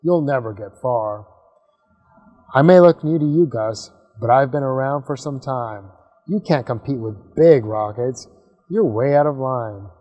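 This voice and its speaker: A man's voice: mid-range voice, unhurried, warm tone, big-room sound, highs cut off, close to an everyday voice. A man voicing a scornful, boastful veteran rocket in a storybook, grumbling and scolding.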